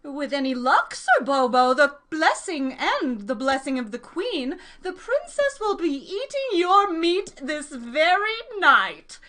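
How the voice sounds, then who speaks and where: A high, bright-voiced queen, acted by a woman, imperious and scheming, an exasperated mother underneath. She speaks in regal, theatrical phrases suited to a comic sketch. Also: very animated, takes it slowly, hardly any pauses, exaggerated voice. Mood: gracious, encouraging.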